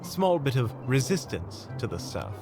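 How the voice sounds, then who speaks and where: Man playing a wry, lively monster hunter. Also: mid-range voice, moderate pace, music in the background. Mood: calm, instructing. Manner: wry, teasing, explanatory. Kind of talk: audio roleplay acting.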